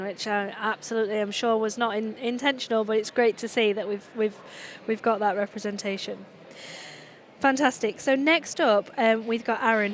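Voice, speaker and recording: A woman's voice: medium pitch, a little hiss or hum. A woman hosting an awards session, upbeat and enthusiastic, often restarting her sentences. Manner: upbeat, enthusiastic, self-interrupting. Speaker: event host.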